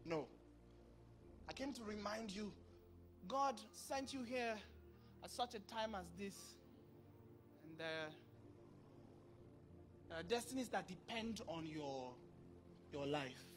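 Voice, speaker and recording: A man in his thirties preaching a sermon into a handheld mic on a large church stage, acting out dialogue with sudden bursts of loudness. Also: high-pitched, slow pace, pauses often, music in the background. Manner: animated, acts out dialogue, bursts of volume. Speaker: pastor.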